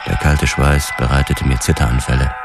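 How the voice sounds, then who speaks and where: A man's voice: extremely low pitch, little pitch movement, moderate pace. An actor playing a driven, obsessive police detective who narrates his investigation in a terse, intense radio drama style. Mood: tense, obsessive. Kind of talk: hardboiled first-person radio drama narration. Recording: music under the voice.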